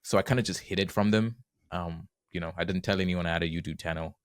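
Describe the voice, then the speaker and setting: A young man from Zimbabwe speaks as a podcast guest, easygoing and earnest, leaning on casual fillers. His voice is very low. The recording is dry and close, with no room sound. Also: fairly even intonation, quick pace, no room sound. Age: young adult. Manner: easygoing, earnest, many "you know" fillers. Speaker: guest, penetration tester.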